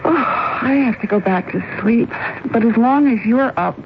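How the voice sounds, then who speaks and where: A woman's voice: fairly high pitch, moderate pace, a little hiss or hum, lightly acted. An actress playing a weary middle-aged wife and mother, curt and offhand, in 1970s radio drama style. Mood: sleepy, dismissive. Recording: dull old radio broadcast with music.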